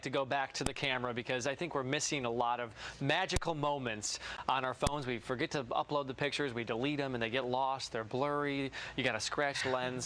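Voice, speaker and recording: Male TV host, quick pace, bright forceful voice, upbeat interviewing, noisy background. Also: mid-range voice. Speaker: TV talk show host. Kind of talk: upbeat morning show interviewing.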